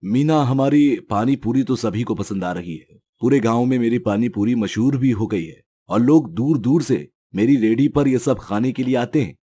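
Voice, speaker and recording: A man's voice: mid-range voice, normal speed, few pauses, dark tone, slightly rough, lightly acted. A man playing an honest, simple village snack seller in a storybook tale, speaking plainly. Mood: pleased, earnest. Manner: earnest, plain-spoken. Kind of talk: storybook character dialogue.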